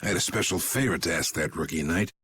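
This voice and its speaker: An actor playing a gruff, shrewd old guild leader in a video game, gravelly and blunt, with a folksy turn of phrase. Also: male voice, sits low, fast, fairly bright tone. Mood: gruff, wry. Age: older. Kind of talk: dramatic video game voice acting.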